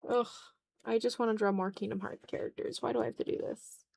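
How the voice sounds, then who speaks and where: A woman's voice: on the high side, steady tone, a little fast, vocal fry, a little mellow. Casual, playful woman art streamer. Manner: playful, dramatic groaning, swears casually. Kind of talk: casual live-stream chat while drawing.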